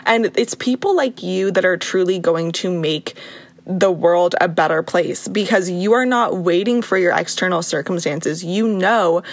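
A young woman hosting a motivational podcast, chatty and encouraging, her voice a bit raspy from a sore throat. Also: lowish voice, a little slow, dry recording. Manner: warm, encouraging, chatty with laughs. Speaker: podcast host. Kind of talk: upbeat motivational podcast talk.

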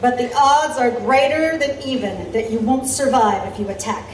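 A woman's voice: fairly high pitch, normal speed, distant mic, close to an everyday voice. A woman playing an intrepid, confident Victorian newspaper reporter in an audio drama, assured and wry. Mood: defiant. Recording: roomy, off-mic, faint noise.

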